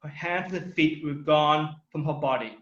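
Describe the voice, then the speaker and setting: A young man with Thai as his first language, speaking slightly high, tells his own story as a testimony speech. He is earnest and emphatic, drawing out the words he wants to land. The recording is roomy and a little dull. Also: unhurried. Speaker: community speaker.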